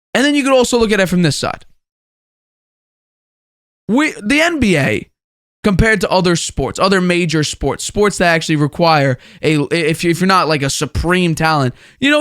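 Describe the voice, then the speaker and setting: High-voiced young man talking sports. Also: a bit bright, no echo, studio quality. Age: 20 years old. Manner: conversational and opinionated, repeats phrases for emphasis. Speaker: sports show host. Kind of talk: sports commentary monologue.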